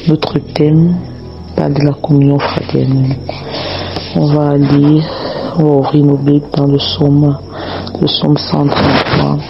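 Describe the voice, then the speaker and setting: Slow gravelly man preaching with a cold. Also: on the high side, highs cut off. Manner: slow, repetitive, prayerful. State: has a cold, slightly hoarse. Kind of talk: prayer and Bible teaching.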